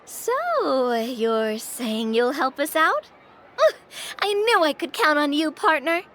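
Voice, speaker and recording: Young female game character: gushing, playful, high-pitched, very expressive delivery. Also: slight background noise, heavily acted. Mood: exuberant. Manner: gushing, playful, drawn-out emphasis. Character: bubbly, theatrical young lady, warm-hearted and eager. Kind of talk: video game voice acting.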